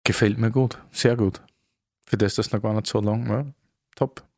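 A man's voice: fairly low pitch, steady tone, moderate pace, darkish tone. A man from Styria with an Austrian accent, chatting casually as a podcast co-host in short remarks.

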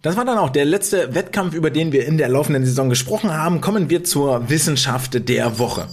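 A man's voice: fairly high pitch, expressive, brisk, faint music behind. A man hosting a swimming podcast in casual talk, chatty with many fillers and restarts. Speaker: podcast host.

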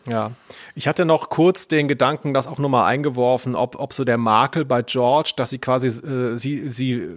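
A man's voice: mid-range voice, normal speed, no room sound. A man hosts a podcast in a mid-range voice. The sound is very dry, and he comes across as tentative and modest about himself as he discusses things casually. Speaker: podcast host. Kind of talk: casual discussion, some reading aloud.

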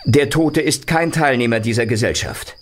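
An actor playing a composed, formal man who calmly reports a murder in a German radio drama. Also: medium pitch, moderate pace, a little hiss or hum, close to an everyday voice. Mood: composed, grave.

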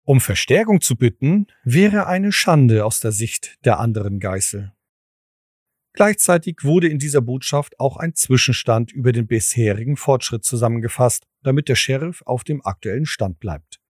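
A man's voice: mid-range voice, lively intonation, moderate pace, dry recording, clean studio sound. A man narrating a scripted audio drama as its storyteller.

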